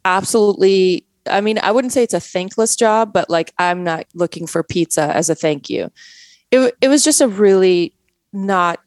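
A Canadian woman in her forties speaks casually as an interview guest. She keeps reaching for words with fillers and restarts, at a middling pitch. The sound is dry and close, with no room in it. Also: moderate pace, dry recording. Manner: chatty, many fillers and restarts.

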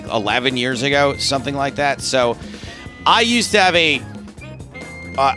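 Man, podcast co-host, sharp forceful tone, mid pitch, informal chat. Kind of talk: casual chat.

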